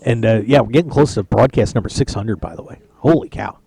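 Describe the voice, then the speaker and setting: A man's voice: lowish voice, fairly quick, creaky, mellow, husky. A man hosting a poker radio show, chatting casually and joking from a lounge at a poker tournament. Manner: chatty, joking, rambling with restarts. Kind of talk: casual live-stream chat.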